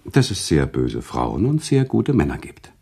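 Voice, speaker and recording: Husky, deep, sentimental storyteller. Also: male voice, wildly swooping pitch, a little slow, mellow, exaggerated voice. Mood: earnest. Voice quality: husky, gravelly low voice. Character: sentimental, worldly male storyteller, emotional and teasing. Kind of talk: German radio drama acting, conversational storytelling.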